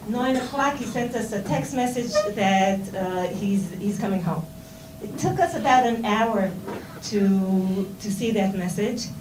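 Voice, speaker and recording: Halting Israeli woman, roomy hall sound. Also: medium pitch. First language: Hebrew. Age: middle-aged. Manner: halting, many fillers and restarts, conversational. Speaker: speaker at a seminar. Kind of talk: informal talk to students. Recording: roomy and distant, with noise.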